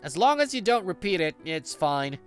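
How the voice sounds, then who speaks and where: An easygoing male office senior, acted by a man in a manga dub, patiently guiding a junior. His delivery is conversational and animated, swinging widely in pitch. Soft music plays behind him. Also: normal speed. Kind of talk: English manga dub, narration and dialogue.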